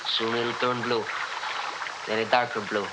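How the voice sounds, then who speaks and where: An earnest young doctor of the deep sea played by a male actor, with a medium-pitched voice. He is clipped, controlled and matter-of-fact, in a vintage radio play with clear noise behind him. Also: little pitch movement, unhurried, close to an everyday voice. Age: young adult. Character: earnest young scientist, coolly obedient deep-sea diver. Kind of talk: 1960s radio drama acting. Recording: noisy old radio recording.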